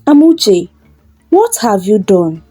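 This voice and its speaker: A stern, caring village husband in a folktale, played by a woman, reproachful and slow. The voice moves widely in pitch. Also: very animated, mellow, faint music behind. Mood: angry reproach. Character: stern, compassionate husband, sorrowful about an orphan.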